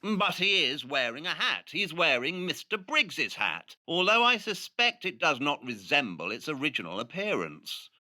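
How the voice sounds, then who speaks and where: Brusque, sharp-voiced Victorian detective. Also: male voice, a little high, big pitch swings, normal speed, few pauses, exaggerated voice. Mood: musing. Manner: brusque, sarcastic, condescending. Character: brilliant, impatient, withering Victorian detective. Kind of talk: audio drama acting.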